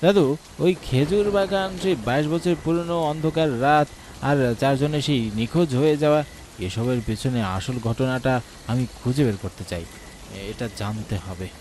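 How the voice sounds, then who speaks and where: A man's voice: medium pitch, moderate pace, a little mellow, a little hiss or hum, natural voice, poor audio. A man voicing a stubborn, curious young village grandson in a horror cartoon, arguing and pleading. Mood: determined. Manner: pleading, dismissive of superstition. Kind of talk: Bengali horror cartoon dialogue.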